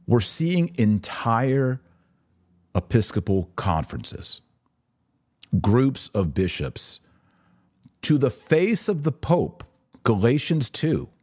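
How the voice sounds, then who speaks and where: A man's voice: fairly low pitch, a little mellow, husky. A middle-aged man giving religious commentary as a podcast host, earnest and emphatic, stressing key words as he addresses viewers directly. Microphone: webcam setup.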